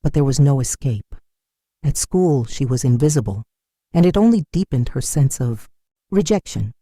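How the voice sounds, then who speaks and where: Storyteller voice reading a dramatic tale, mellow n very expressive, measured delivery, dry sound. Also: mid-range voice, dry recording. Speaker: storyteller, narrator. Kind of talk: scripted story narration.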